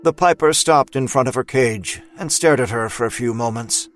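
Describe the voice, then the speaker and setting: A man's voice: mid-range voice, moderate pace, soft background music. A man narrating a scripted audio drama in a dramatic storytelling style.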